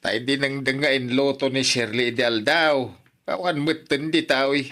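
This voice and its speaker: A man playing an animated, food-loving old father-in-law in a radio drama. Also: medium pitch, measured pace. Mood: complaining.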